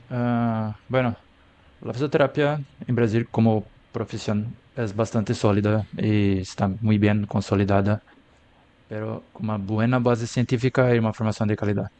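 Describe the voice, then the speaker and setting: A man's voice: lowish voice, darkish tone. A man from Brazil with a Brazilian Portuguese accent, a native Portuguese speaker, answering interview questions as a guest carefully with frequent "ah" fillers. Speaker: physiotherapy researcher, guest.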